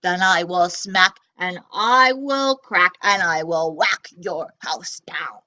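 A woman voicing a big bad male robot from a children's storybook, with low, drawn-out sing-song threats. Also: pushed and bright, dull audio. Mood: menacing.

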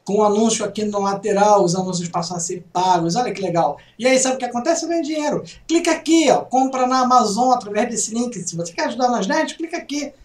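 A man's voice: fairly quick, few pauses. A middle-aged Brazilian man with a Brazilian Portuguese accent, casually reading and joking through gaming news on a home webcam vlog. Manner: chatty, jokey asides, lively swings in pitch. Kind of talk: casual news commentary over screen share. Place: small room at home. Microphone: webcam in corner. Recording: roomy, distant from mic.

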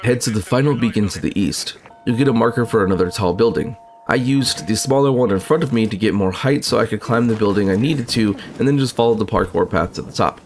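A man narrating a video game walkthrough in a brisk, matter-of-fact, instructive way. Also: fairly low pitch, slight background noise. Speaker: gaming channel host.

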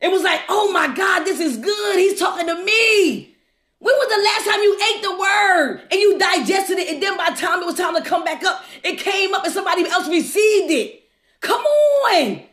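A woman's voice: very high voice, expressive, normal speed, fairly bright tone. A woman preaching a devotional, exuberant and exhorting, leaning on repeated phrases and rhetorical questions. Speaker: preacher leading a livestream devotional. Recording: echoey, reverberant room.

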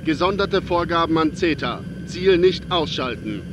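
A man's voice: a little high, a little slow, muffled recording, natural voice. A man playing a businesslike police mission commander in a German audio drama, giving terse military orders. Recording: clear aircraft noise behind.

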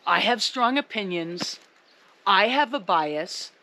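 A woman's voice: medium pitch, lively intonation, takes it slowly, slight background noise, rough recording. A woman ski coach speaking emphatically to camera outdoors in the snow. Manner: emphatic, upbeat, loud. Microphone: camera a few meters away.

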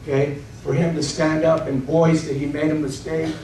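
A man giving a candid, earnest personal testimony to a congregation, speaking haltingly. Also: a little high, flat delivery, darkish tone. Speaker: program member giving testimony. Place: church hall. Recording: echoey room from far off with noise.

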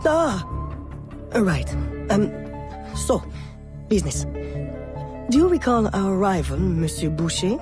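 A man's voice: high voice, sing-song, takes it slowly, pauses often, music in the background, exaggerated voice. An actor playing a devoted young male bookkeeper and inventor in a video game, with a flustered, polite, hesitant manner. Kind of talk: scripted video game acting.

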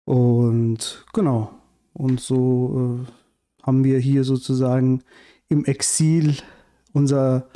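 Podcast guest, thirties man, halting delivery, soft dark tone, boom mic in a living room. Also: flat delivery. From Iran. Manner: hesitant, many fillers and restarts. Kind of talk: casual interview chat. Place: bright living room with large windows. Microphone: studio mic on boom arm, headphones.

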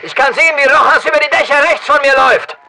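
An actor playing a battle-hardened special forces soldier in a shooter game, giving clipped, commanding callouts over military radio. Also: male voice, very high voice, fast, bright, forceful tone, exaggerated voice. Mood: alert, focused.

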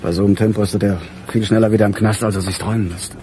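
An actor playing a seasoned, skeptical chief inspector in a German radio crime play, speaking bluntly and drily. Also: male voice, lowish voice, level tone, racing, background noise, close to an everyday voice. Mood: skeptical, weary.

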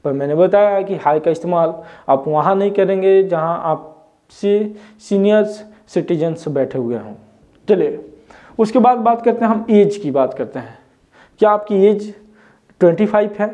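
A young man teaches at a whiteboard, his voice high and mellow. He keeps checking in with viewers through rhetorical questions. The lapel-mic sound is slightly dull. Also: lively intonation, normal speed. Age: 20s. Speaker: English teacher. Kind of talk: whiteboard lesson. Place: indoor room before a whiteboard.

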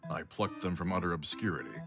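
A man's voice: low-pitched, lively intonation, quick pace. A man voicing a sleazy, self-important cartoon hyena music producer, smug and scheming.

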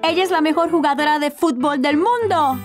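A woman voices a curious little cartoon boy, extremely high-pitched and full of childlike excitement. The accent is Latin American Spanish, and music runs underneath. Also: fairly quick, close to an everyday voice. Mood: earnest, eager. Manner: wide-eyed, childlike. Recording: music behind the voice.

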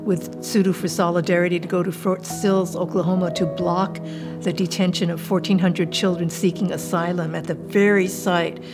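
An older woman with an American accent, speaking earnestly and in a measured way in a documentary interview. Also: on the low side, flat delivery. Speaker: community activist interviewee. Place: indoor room, seated filmed interview. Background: music.

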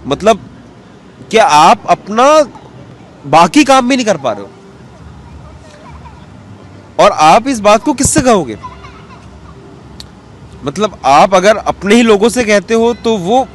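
High-voiced man, earnest. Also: animated, measured pace, pauses often. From western Uttar Pradesh, India. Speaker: interview guest, cartoonist. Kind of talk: earnest interview answer with English words mixed in. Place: outdoor bench in a public square. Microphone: handheld interview mic held toward him. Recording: outdoor street ambience with background noise.

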